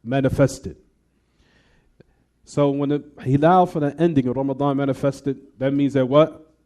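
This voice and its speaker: A man's voice: mid-range voice, fairly even intonation, moderate pace, warm tone. A man teaching a class on hadith, explaining in English with recited Arabic and often quizzing the students with "what?" questions. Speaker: Islamic teacher.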